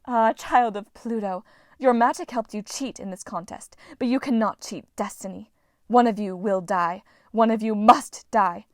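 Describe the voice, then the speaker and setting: A woman voicing a furious, grandiose goddess of victory caught in a net, in a storybook reading, with shrill, booming proclamations. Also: moderate pace, no echo, studio quality, natural voice. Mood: ominous.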